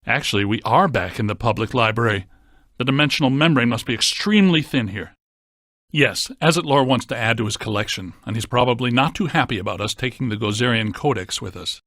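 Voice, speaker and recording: Deadpan brainy ghost-hunting scientist man, dry recording. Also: mid-range voice, a little fast, no echo. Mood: deadpan, analytical. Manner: deadpan, precise technical wording. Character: brainy deadpan male scientist ghost hunter in a video game. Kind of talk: scripted video game voice acting.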